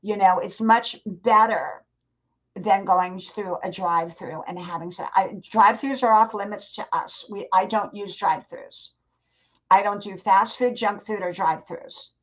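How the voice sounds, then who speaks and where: A woman's voice: medium pitch, a little slow, muffled recording. A woman in her sixties chatting casually on a live stream, answering viewers and giving encouragement, often repeating herself. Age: 60s.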